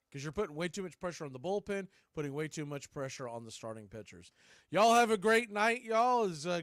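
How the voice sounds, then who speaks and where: A man's voice: high-pitched, no echo, studio quality. A man hosting a baseball fan show, giving casual, folksy postgame commentary. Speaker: host of a baseball fan radio stream.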